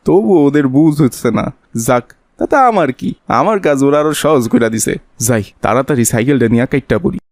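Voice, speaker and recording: A man's voice: mid-range voice, fast talker, a little mellow, close to an everyday voice. A man voicing a sly, wheedling petty thief in a cartoon, speaking colloquial Bangladeshi dialect with a stream of excuses. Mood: scheming, smug. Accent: colloquial Bangladeshi Bengali dialect. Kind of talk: cartoon voice acting.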